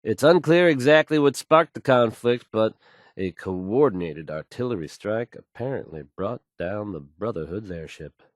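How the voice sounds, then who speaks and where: Assured game radio newsman, acted by a man: lower register, fluent folksy announcer delivery, dry and close. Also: lively intonation, normal speed, no echo, natural voice. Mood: grave, confident. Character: confident, smooth, assured male radio newscaster. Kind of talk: scripted radio news announcing in a video game.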